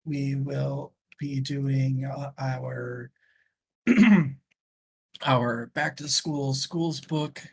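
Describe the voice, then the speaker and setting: A man's voice: mid-range voice, steady tone, very slow, gravelly, pauses often, darkish tone, husky. A man hosting a book discussion show in casual, informal, joking chat.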